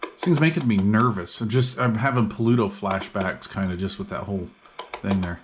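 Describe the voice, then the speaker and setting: A man's voice: lowish voice, normal speed, faint music behind. A man talks casually and slangily, thinking aloud as he demonstrates gear at a workbench. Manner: laid-back, slangy, muttering to himself. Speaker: hobbyist vlogger demonstrating radio repair. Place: indoor radio workbench. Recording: clearly noisy workbench recording with clicks.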